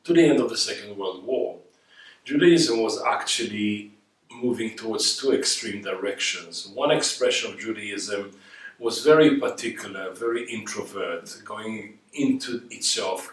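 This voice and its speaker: A man with an Israeli accent giving a reflective talk on history, emphatic, with rhetorical questions and points built through repetition. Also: lowish voice. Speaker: guest speaker. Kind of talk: reflective spoken lecture on history. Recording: echoey room, distant microphone.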